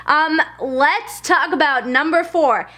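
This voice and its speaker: German girl in her late 20s vlogging to camera, pretty high voice, super chatty, lots of um and like. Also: slow, sharp tone. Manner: chatty, candid, lots of fillers and self-asides. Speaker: lifestyle vlogger. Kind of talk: casual talk to camera.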